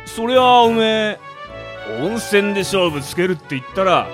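A man playing a gruff, easygoing middle-aged gangster boss with a rough, drawling way of talking in an amateur radio drama. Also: sits high, expressive, normal speed, over music. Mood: boastful, sheepish.

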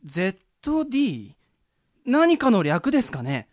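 A woman's voice: mid-range voice, wildly swooping pitch, unhurried, darkish tone, no echo, studio quality. A woman playing a polite, earnest, easily impressed train hand strap in a comic radio drama. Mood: curious.

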